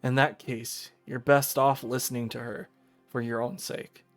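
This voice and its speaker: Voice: medium pitch, flat delivery, measured pace, soft background music. A streamer reading a visual novel aloud, narrating in a casual storytelling style.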